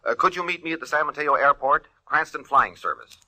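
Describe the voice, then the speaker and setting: An actor playing a hurried, polite male insurance adjuster in a 1940s radio drama, brisk with hesitant pauses. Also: a little high, lively intonation, pushed and bright, no room sound, rough recording.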